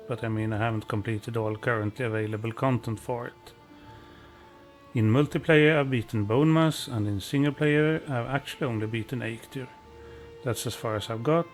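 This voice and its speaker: A calm, modest man with a Swedish accent, from Sweden, giving self-deprecating gameplay commentary as a let's play host. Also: mid-range voice.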